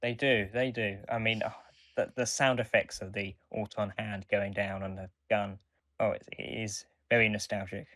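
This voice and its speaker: A man's voice: fairly low pitch, little pitch movement, normal speed, a bit bright, no room sound, clean studio sound. A man co-hosting a podcast, talking casually as he reviews and gives his opinions. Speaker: podcast co-host.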